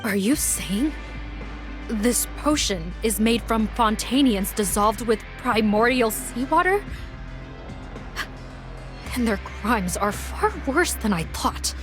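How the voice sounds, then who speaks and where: A woman playing a resolute, stern law enforcer in a video game, speaking in a firm, measured way. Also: fairly high pitch, animated. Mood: appalled. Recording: music under the voice.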